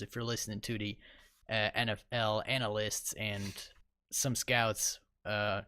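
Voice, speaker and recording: A man's voice: on the low side, sharp tone, no echo, studio quality. A young man co-hosting a sports podcast, giving casual, rambling analysis with lots of fillers. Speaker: podcast co-host.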